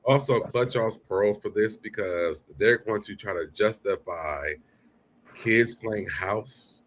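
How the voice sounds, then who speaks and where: A low-pitched man hosts a live commentary show. He talks casually and bluntly, with swearing mixed freely into his remarks. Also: slow, a little hiss or hum. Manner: blunt, profane. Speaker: show host. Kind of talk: casual live commentary over played clips.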